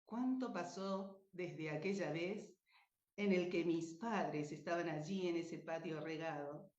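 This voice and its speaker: A woman's voice: lowish voice, a little mellow. Socorro, troubled old dreamer, acted by a woman, echoey. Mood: lost, anguished. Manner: slow, dramatic, wistful. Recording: echoey room, dull muffled sound.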